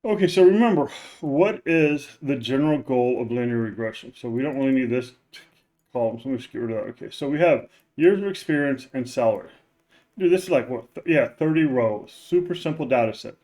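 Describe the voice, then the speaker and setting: A man's voice: expressive, brisk, mellow. A man teaching as a professor in a loose, informal recorded lecture, with many fillers and restarts, his voice run through a neural normalizing filter. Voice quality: says his voice is very deep. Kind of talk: casual recorded class lecture.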